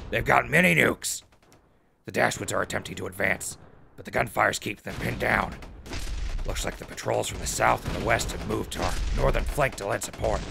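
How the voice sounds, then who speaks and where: Man playing a gravelly, folksy wasteland radio host. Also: on the low side, background noise. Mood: urgent, excited. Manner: chatty, informal, theatrical. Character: folksy, upbeat male post-apocalyptic settlement radio host. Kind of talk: radio drama news broadcast.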